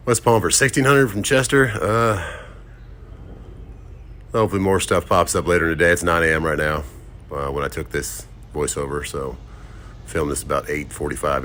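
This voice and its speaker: Low deep-voiced guy, kinda groggy, casual trucker vlog talk from his kitchen, bit of faint noise. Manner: rambling, relaxed talk with fillers. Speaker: owner-operator truck driver vlogger. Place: home kitchen and car.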